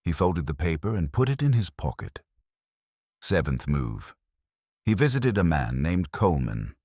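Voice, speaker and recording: A man delivers scripted true-crime narration in an extremely low, husky voice. He phrases it in short, dramatic storyteller beats with a lively melody. The recording is dry and close. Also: unhurried, no room sound.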